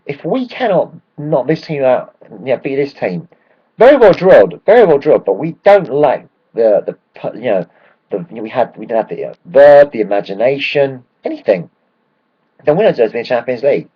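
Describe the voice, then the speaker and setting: Hoarse excitable football fan. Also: male voice, mid-range voice, a little fast, lo-fi sound. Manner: rambling, excitable, swears freely. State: hoarse from shouting. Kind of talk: casual match rant.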